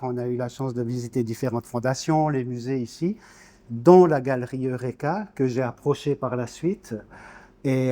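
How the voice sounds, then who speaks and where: Man, sixties, from Switzerland, soft dark timbre, mid pitch, informal interview guest, hesitant, word-searching. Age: 60s. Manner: hesitant, word-searching, repeats small words. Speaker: guest, painter.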